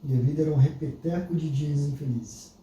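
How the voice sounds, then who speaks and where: A Brazilian man co-hosting a podcast, calmly reflecting on what his partner says. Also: on the high side, fairly quick. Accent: Brazilian Portuguese. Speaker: podcast co-host. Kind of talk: casual conversational commentary. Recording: echoey room, distant mic, faint noise.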